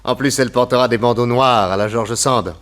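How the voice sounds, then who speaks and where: An actor playing a shrewd, self-assured detective in a French radio drama, explaining things with theatrical assurance. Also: male voice, mid-range voice, fairly even intonation, a little fast, fairly bright tone, natural voice. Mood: confident, amused. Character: shrewd, self-assured male detective planning his disguise.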